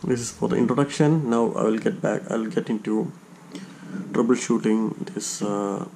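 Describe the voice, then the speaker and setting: A man's voice: fairly low pitch, moderate pace, gravelly, darkish tone, a little hiss or hum. A man presenting a step-by-step hands-on tutorial, often searching for his words as he explains.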